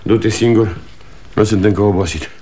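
A man's voice: lowish voice, fairly even intonation, warm tone, slight background noise, close to an everyday voice. An actor playing a weary, irritable aging father and failing salesman in a 1960 radio drama, rambling and scornful. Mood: grumpy. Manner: agitated, rambling, nostalgic, scornful. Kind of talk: 1960 Romanian radio theatre acting.

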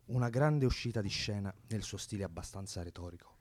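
Radio drama: actor for sardonic male commentator, lowish pitch, detached and judgemental delivery. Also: fairly quick, a little mellow, slight background noise, natural voice. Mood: detached, scornful. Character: cool, cutting male commentator judging an absent friend. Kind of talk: Italian radio drama acting.

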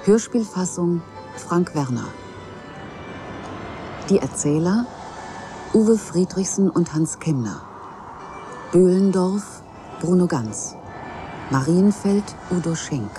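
A woman's voice: lowish voice, animated, slow, long pauses. A woman formally reading out radio play credits as an announcer. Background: music.

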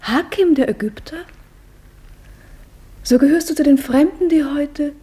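A woman's voice: sits high, a little slow, mellow. An actress playing a mysterious veiled young palace girl, speaking in a cool, aloof way, in a 1950s radio play.

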